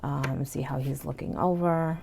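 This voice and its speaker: A woman giving a casual tarot reading, sounding stuffy and hoarse from illness, chatty with frequent "okay?" and "you know". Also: low voice, fairly even intonation, measured pace. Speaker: tarot reader. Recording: faint background noise.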